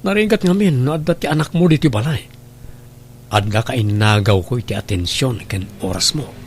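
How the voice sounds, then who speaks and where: A man's voice: medium pitch, animated, a little fast, slight background noise, natural voice. A man playing a selfish, work-shy boyfriend in a radio drama, wheedling and complaining.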